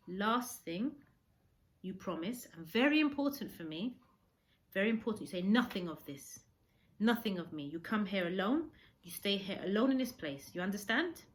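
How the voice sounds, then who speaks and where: A woman voicing a gentle, dignified old Japanese hermit in a storybook, speaking halting broken English with earnest solemnity. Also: medium pitch, natural voice. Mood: earnest, tender.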